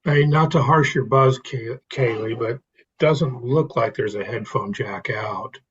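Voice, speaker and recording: A man chatting casually as a meeting participant, on a dull, low-fidelity recording. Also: measured pace, husky. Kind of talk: casual chat. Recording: dull, lo-fi sound.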